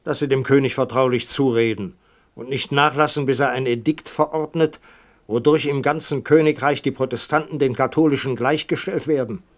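A man's voice: medium pitch, steady tone, normal speed, natural voice. A man playing a scheming, insistent duke in a radio drama, speaking in a pressing, calculating way. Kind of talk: 1970s radio drama acting.

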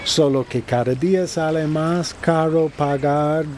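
Slow, smug corrupt boss. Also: male voice, fairly high pitch, steady tone, a little mellow, a little hiss or hum. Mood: smug, affable. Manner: measured, condescending. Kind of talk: radio drama acting.

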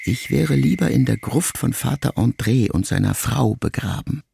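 A man's voice: mid-range voice, animated, normal speed, background noise, pitched above the performer's own voice. Man putting on a frail old mother. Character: frail, strong-willed ninety-year-old mother. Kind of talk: audiobook character voice. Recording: music clearly under the voice.

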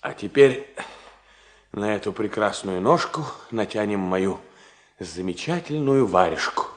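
Radio drama, 1970 Soviet: bossy but tender suitor, very animated, playful teasing, long reverberant tail. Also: male voice, fairly low pitch, a little slow. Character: tender, bossy, teasing man courting his sweetheart. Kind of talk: Soviet radio play acting.

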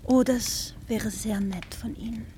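Woman playing wistful young lover, high-pitched, plaintive, weary, radio drama, faint background noise. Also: fairly even intonation, measured pace, dark tone, lightly acted. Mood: grateful.

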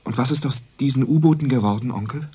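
Radio drama casting: male actor as young dispatcher nephew, very husky mid-pitched voice, exasperated and wary. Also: fast, a little mellow, lightly acted. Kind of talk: radio play acting.

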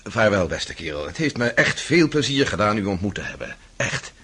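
An actor in a radio drama playing an awkward, warm-hearted young gentleman, earnest and hesitant, with a husky voice. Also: fairly low pitch, very animated, moderate pace, full character voice. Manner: hesitant, repeating himself.

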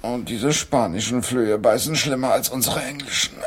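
An actor playing a weary, grumbling middle-aged English playwright in a German radio play, hesitant and complaining. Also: male voice, mid-range voice, slightly rough, close to an everyday voice. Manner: slow, hesitant, complaining, dramatic.

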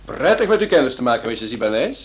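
Radio drama: polite, probing male sleuth, played by an actor, highly expressive, mid-pitched, slight hiss of an old recording. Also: lightly acted. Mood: courteous, probing. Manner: animated, polite, probing, swings between brisk and hesitant. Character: shrewd, courteous male writer turned amateur sleuth. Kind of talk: radio play acting.

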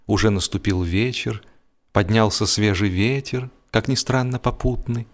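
A man narrating a humorous literary story in a theatrical, expressive manner, with emphatic swells and deliberate pauses. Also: mid-range voice. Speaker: audiobook narrator. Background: music.

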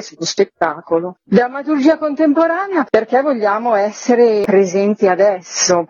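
A woman gives explanatory interview answers as an artistic director, speaking very slowly and pausing to search for words. Her voice sits a little high, and the sound is dry and slightly muffled. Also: a little mellow, breathy edge, no echo.

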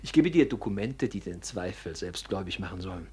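Husky, scheming young nobleman, widely swinging voice. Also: deep voice, animated, fairly quick, full character voice. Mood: sly, commanding. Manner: theatrical, insinuating, swinging from hushed to loud. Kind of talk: classic German radio drama acting.

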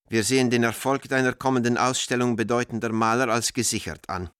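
Man acting art dealer in a radio play, low voice, dry close sound, measured formal letter monologue. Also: a bit bright, dry recording, natural voice. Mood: measured, urgent. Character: American art dealer writing coded letters to an old friend.

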